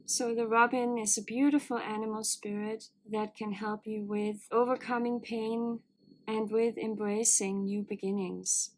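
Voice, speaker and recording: A woman giving a spiritual oracle card reading to her viewers, in a calm, earnest and gently encouraging way. Her voice is low and a little hoarse, as if she has a cold. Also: measured pace, slight background noise, poor audio.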